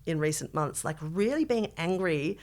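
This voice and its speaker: A middle-aged Australian woman with a voice a little lower than most women's. She chats casually and wryly as a podcast co-host, animated and fond of slipping into mock quotations. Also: moderate pace. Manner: animated, wry, mimics others in mock quotes. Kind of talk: casual chat.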